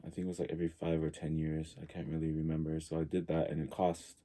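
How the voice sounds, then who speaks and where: Very deep, dark-voiced man. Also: level tone, quick pace. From Tonga. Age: early 40s. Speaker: podcast guest. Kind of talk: casual reflective conversation.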